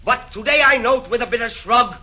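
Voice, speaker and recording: A fussy Nero talks with affected, connoisseur airs in a high, very bright voice. The sound is dull and lo-fi, like an old radio recording. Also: male voice, a little fast, exaggerated voice. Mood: scheming. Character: Nero, fussy music-snob demon. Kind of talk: 1940s radio acting.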